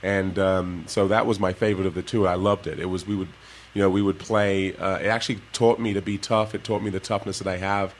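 A man, a former professional basketball player, telling his life story to kids in a relaxed way and laughing often. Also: low-pitched, brisk, hardly any pauses, a little hiss or hum. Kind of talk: casual interview talk to kids.

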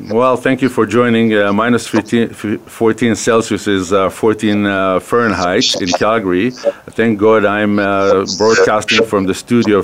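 A man in his fifties from Israel with an Israeli accent, hosting a business interview from a radio studio and talking hesitantly with many fillers and restarts. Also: fairly low pitch. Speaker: radio show host.

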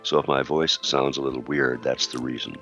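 A man co-hosting an internet talk radio show, reading a scripted introduction with a sore throat that makes his voice gravelly and deep. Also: level tone, a little fast, pushed and bright, dull audio, faint music behind.

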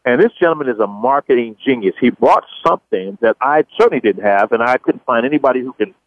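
A man's voice: mid-range voice, animated, quick pace, dry recording. Enthusiastic male talk radio host. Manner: enthusiastic, repetitive phrasing. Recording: dull dry studio audio.

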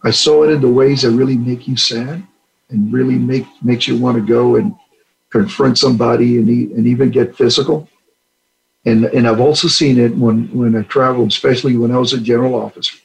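A man's voice: mid-range voice, steady tone, a little fast, vocal fry, poor audio. An older man from Puerto Rico, a retired general, earnestly telling stories in an interview and acting out the dialogue. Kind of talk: storytelling interview answers.

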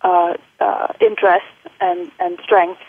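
A woman's voice: lowish voice, little pitch movement, slow, gravelly. A woman in her forties from the Netherlands with a Dutch accent, touched by British and American tones, talking through an interview with many fillers and restarts. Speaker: guest, college faculty member. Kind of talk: interview conversation. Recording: dry, phone-like line.

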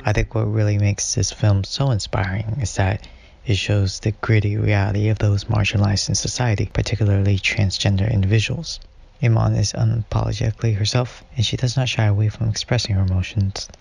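A man from California reading a prepared film response in a flat, deliberate way. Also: lowish voice, creaky, husky. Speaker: student guest.

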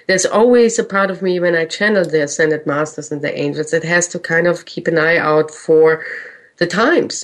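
A woman with a German accent hosting a spiritual radio show, speaking in a gentle, earnest way with slow, drawn-out phrases. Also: lowish voice. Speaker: spiritual radio host and channel. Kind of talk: reflective spiritual talk.

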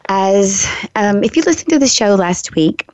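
A woman hosting a radio show, talking casually to her listeners with a hoarse, froggy voice and a chatty manner full of little asides. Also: medium pitch, animated, normal speed, no echo. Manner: chatty, warm asides, frequent ums and restarts. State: hoarse, recovering from a lost voice. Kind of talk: casual solo talk to listeners. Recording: slightly dull lo-fi radio recording.

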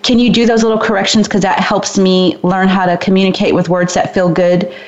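A woman's voice: mid-range voice, level tone, brisk, dull audio, music in the background. A woman hosting an interview, asking curious, encouraging questions and listening with frequent affirmations. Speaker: interview host. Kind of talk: conversational interview.

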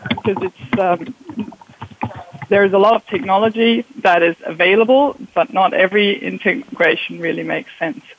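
A man's voice: high voice, moderate pace, creaky, dull audio. A man from Germany, a consultant guest explaining things on a radio show with frequent ums. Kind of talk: radio interview answers.

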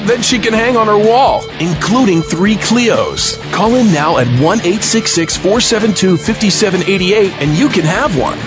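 A man's voice: sits high, animated, normal speed, hardly any pauses. A man announcing a scripted radio commercial in a punchy, promotional way. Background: music.

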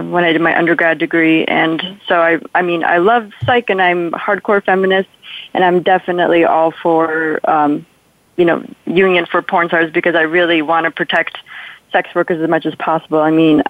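A woman's voice: lowish voice, no echo. Young woman, slight Polish accent, phone-like line. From Poland. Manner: chatty, rambling, peppered with "like" and "you know". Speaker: interview guest, adult film performer. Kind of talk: casual radio interview chat. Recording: tinny, phone-like radio call-in line.

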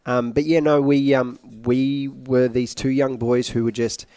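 A young man with a British-sounding accent, telling his story casually and haltingly as a guest, with some joking. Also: mid-range voice, little pitch movement, mellow, clean tone, no echo. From Iraq, later Australia. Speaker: interview guest, singer. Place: at a conference.

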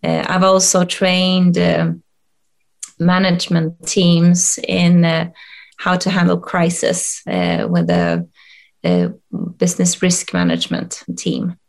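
A middle-aged woman from Sweden with a Swedish accent, answering interview questions hesitantly with many pauses and restarts. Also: fairly low pitch, creaky. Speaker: guest, coach and HR expert.

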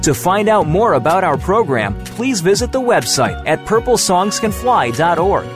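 A man reading a scripted station announcement in a loud, animated way. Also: high voice, moderate pace. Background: music.

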